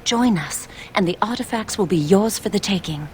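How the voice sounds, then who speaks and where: A woman playing a devout, quietly determined young initiate in a video game, solemn and measured. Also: mid-range voice, lively intonation, slight background noise, lightly acted. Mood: persuasive. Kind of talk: scripted video game dialogue.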